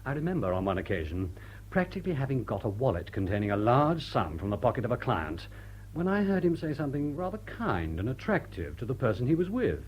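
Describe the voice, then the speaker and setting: Suave gentleman crook, animated. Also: medium pitch, a little fast, slightly rough, dull audio. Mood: wistful. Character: suave, courteous gentleman crook, genteel and urbane. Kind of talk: period radio drama acting.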